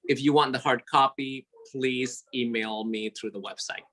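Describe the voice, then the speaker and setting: A man who grew up speaking Javanese talks about design in an earnest, sentimental way. He speaks at a middling pitch, stops often on fillers, and restarts his sentences. He is recorded at his office at a city store. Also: steady tone. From Indonesia. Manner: warm, sentimental, halting with many fillers. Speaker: interior designer. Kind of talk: casual interview over video call.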